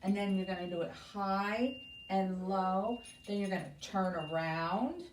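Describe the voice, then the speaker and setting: A woman's voice: medium pitch, a little slow. A woman hosts story time for young children, reading and explaining in simple terms with questions for her listeners. Her voice is picked up from a little distance in an echoey room, with faint noise behind it.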